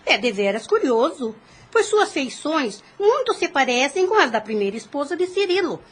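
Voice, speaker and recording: Woman acting a high-voiced, swooping, calculating lady. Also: big pitch swings, moderate pace. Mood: inquisitive, suave. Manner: formal, theatrical. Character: haughty inquisitive grown woman, calculating heiress and mother. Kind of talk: religious audio drama acting.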